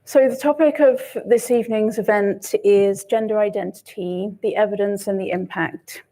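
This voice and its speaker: A soft-spoken woman giving a prepared talk as a panelist. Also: mid-range voice, fairly even intonation, normal speed, low-quality recording. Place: lecture hall.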